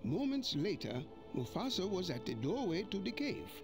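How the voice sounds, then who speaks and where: An actor playing an old male mandrill elder, narrating a storybook read-along in an expressive way. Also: high-pitched, normal speed, creaky, exaggerated voice. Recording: music playing behind.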